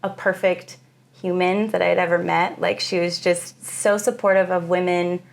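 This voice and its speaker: A young woman speaks in a deep, gravelly register. Her talk is reflective and halting, full of pauses and fillers, in a casual interview chat. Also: little pitch movement. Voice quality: very low female voice. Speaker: guest musician.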